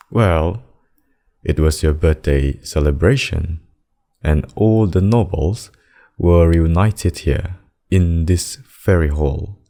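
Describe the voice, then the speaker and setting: A man's voice: deep voice, warm tone. A man playing a possessive, smug demon king in a roleplay ASMR, courting with theatrical, courtly menace. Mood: reminiscing.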